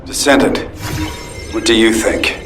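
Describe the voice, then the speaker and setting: A man playing a stern, pragmatic military commander in a video game cutscene, speaking with grave, deliberate authority. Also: on the low side, steady tone, music in the background, close to an everyday voice.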